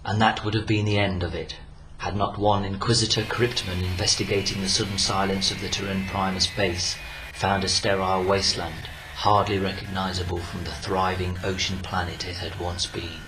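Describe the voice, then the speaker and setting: A man's voice: low-pitched, flat delivery, moderate pace, hardly any pauses, low-quality recording. A man narrating a scripted war story in a solemn, portentous, dramatic manner. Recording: loud music under the voice.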